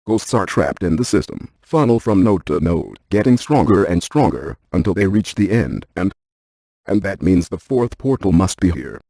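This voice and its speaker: A methodical male scientist for a paranormal video game. His voice is fairly low and notably husky. He speaks in a precise, technical, deadpan way, like someone who takes every measurement seriously. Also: moderate pace, no room sound, exaggerated voice. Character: cerebral, methodical paranormal scientist, adult man. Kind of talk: scripted video game dialogue.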